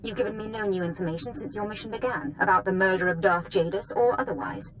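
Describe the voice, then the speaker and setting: An actress plays a young dark-side noblewoman in a video game, proud and threatening. Her voice sits at a middle pitch and commands with theatrical disdain. Faint noise runs behind it. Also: quick pace, close to an everyday voice. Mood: haughty, threatening. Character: imperious, menacing young female dark lord, daughter of a dead master. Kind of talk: scripted video game acting.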